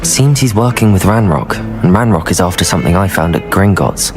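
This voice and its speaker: Teenage wizard student, eager, polite, expressive pitch, music behind. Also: male voice, a little fast. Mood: confiding. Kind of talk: video game dialogue.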